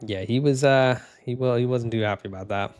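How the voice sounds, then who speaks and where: A man streaming casually, reading chat comments in a low, even, unhurried voice with lots of fillers and restarts. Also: smooth, soft background music. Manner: laid-back, rambling, many fillers and restarts.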